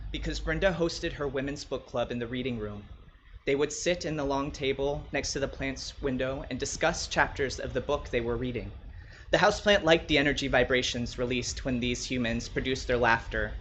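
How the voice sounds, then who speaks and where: A man's voice: mid-range voice, moderate pace, a bit bright, slight background noise, low-quality recording. A man reading his own short story aloud as a scripted narration.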